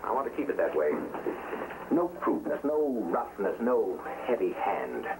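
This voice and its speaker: Exacting, declamatory front-company boss, 1950s TV drama. Lowish, very animated voice. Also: male voice, normal speed. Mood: smug, commanding. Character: suave, exacting male boss of a communist front film company. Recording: noisy old 1950s TV soundtrack.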